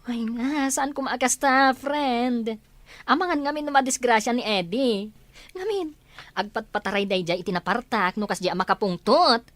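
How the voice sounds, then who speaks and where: A woman's voice: on the high side, moderate pace, a little hiss or hum, close to an everyday voice. A woman acting as an anxious, exclaiming friend fretting over a crisis in an Ilocano audio drama. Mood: agitated scolding.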